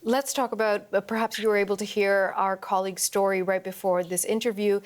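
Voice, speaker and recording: A woman news interviewer putting measured, probing questions to a guest. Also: medium pitch, steady tone.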